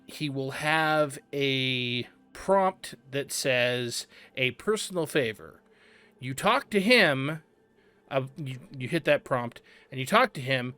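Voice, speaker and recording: Chatty man explaining a game, bright voice. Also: medium pitch, no echo. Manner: chatty, explanatory, with fillers and asides. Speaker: game channel host. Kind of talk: casual let's-play commentary.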